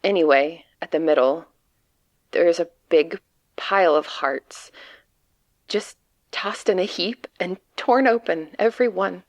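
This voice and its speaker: A woman playing a frightened, despairing agent trapped among monsters, delivering a halting, grimly sardonic confessional monologue in an audio drama. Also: fairly low pitch. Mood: grim, haunted. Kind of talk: dramatic monologue reading of a written report.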